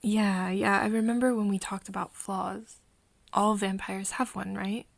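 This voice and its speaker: A woman acts out a hesitant, tender young woman in an ASMR roleplay drama. Her breathy voice crackles with fry and often sinks to a whisper. She talks slowly and haltingly, as if to a close friend. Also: medium pitch, level tone, no room sound. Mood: tentative, curious. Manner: halting, hesitant, with nervous giggles. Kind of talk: soft ASMR roleplay acting addressed to the listener.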